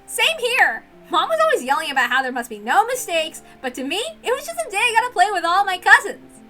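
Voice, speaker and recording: Woman as tomboy teen girl, very high voice, bright, emphatic, brash, story reading. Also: big pitch swings, a little fast, faint music behind, full character voice. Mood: excited, fond.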